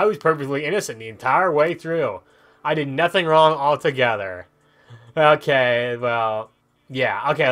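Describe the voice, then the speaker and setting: A man's voice: on the high side, fairly bright tone. A man hosting a casual let's play, joking and rambling as he thinks aloud. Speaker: host of gaming videos. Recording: video game music behind the voice. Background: video game music.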